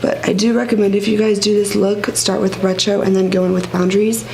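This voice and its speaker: Sick, raspy-voiced woman vlogger. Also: mid-range voice, flat delivery, fairly quick, darkish tone, slight background noise, poor audio. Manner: rambling, filler-heavy, chatty. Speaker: beauty vlogger. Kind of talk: casual makeup tutorial.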